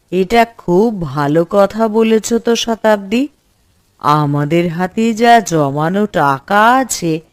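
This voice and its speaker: Woman acting an earnest, slow-speaking village sister. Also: fairly low pitch. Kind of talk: cartoon voice acting.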